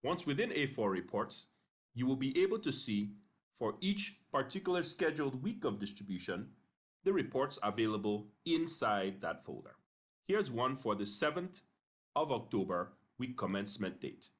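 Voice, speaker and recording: A man narrating an instructional screencast in a measured, step-by-step way, pausing briefly between phrases. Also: mid-range voice, big pitch swings. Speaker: software tutorial narrator.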